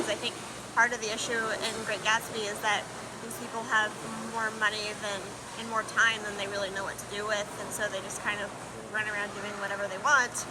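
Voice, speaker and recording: A woman's voice: on the high side, very noisy. A young woman answering interview questions in a hesitant, reflective way, with many ums and uhs. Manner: hesitant, filler-heavy, reflective. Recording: music playing behind.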